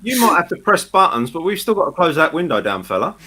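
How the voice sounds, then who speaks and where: A male guest in casual, teasing banter. His voice is high and sharp, with very animated pitch and a joking manner. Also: quick pace. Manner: jokey, teasing. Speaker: radio show guest. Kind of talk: casual chat.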